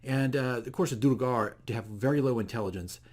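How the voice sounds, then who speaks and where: Man, mid-pitched voice, casual game master recap, rambling, explanatory, often correcting himself. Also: a little fast, a little mellow. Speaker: dungeon master, video diary host.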